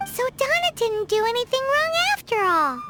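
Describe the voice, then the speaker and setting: A woman voicing a tiny, excitable fairy companion who talks about herself in third person. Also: very high-pitched, normal speed. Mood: relieved. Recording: game cutscene with music behind.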